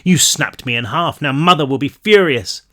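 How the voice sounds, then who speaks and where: A man voicing a mad, broken winged girl with burning eyes, speaking slowly and with eerie detachment. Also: a little high, a bit bright, lightly acted. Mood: eerie, teasing. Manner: slow, teasing, eerily detached.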